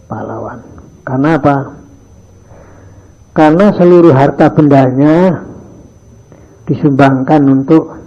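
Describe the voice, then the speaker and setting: A man's voice: medium pitch, slightly rough. An older man teaching boarding school students in a morning religious lesson, slipping phrases into his talk and acting out little dialogues. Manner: mixes in Javanese phrases, acts out dialogues in his stories. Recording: dull lo-fi archive recording.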